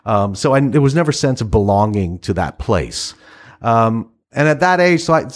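Reflective middle-aged man, laughing softly. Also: mid-range voice, big pitch swings, moderate pace. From Iran. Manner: reflective, many restarts and soft laughs. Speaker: interview guest, writer. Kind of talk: casual conversational interview.

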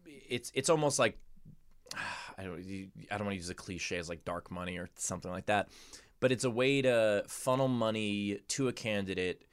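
A man's voice: fairly low pitch, moderate pace, clean tone, no room sound. A man hosting a casual interview show in a studio, talking conversationally and reworking his sentences as he goes. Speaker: podcast host and interviewer.

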